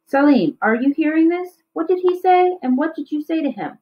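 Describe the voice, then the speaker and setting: Protective, exasperated storybook mother, read by a woman, raised pitch, slightly lo-fi. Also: expressive, normal speed. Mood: indignant. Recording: slightly dull, lo-fi recording.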